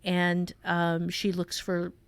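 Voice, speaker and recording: A woman's voice: lowish voice, monotone, slow, clean tone, no room sound. Woman improvising a story, dry close sound. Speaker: author and writing teacher. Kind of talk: improvised storytelling in a conversational writing lesson.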